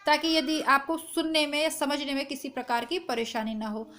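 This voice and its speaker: A woman teacher with a strained, hoarse voice, chatting to her students through an exam syllabus, recorded at home without a proper mic. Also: high voice, moderate pace, fairly bright tone, a little hiss or hum. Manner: chatty, reassuring, addresses students directly. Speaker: Hindi exam-prep teacher. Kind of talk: informal lesson to camera. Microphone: no proper mic, phone or device built-in.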